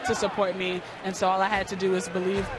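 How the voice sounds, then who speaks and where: A woman's voice: mid-range voice, monotone, fast talker, a loud crowd. Young American woman, earnest, in stadium noise. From New York, United States. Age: early 20s. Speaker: student athlete being interviewed. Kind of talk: conversational live interview. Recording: clear outdoor stadium noise behind. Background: outdoor ballpark ambience.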